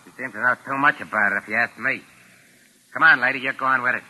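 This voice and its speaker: A man's voice: mid-range voice, fast talker, pushed and bright. An actor playing a menacing American gangster henchman in a 1940s radio thriller, talking slangy, bossy and threatening. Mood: menacing, mocking. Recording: noisy vintage radio broadcast recording.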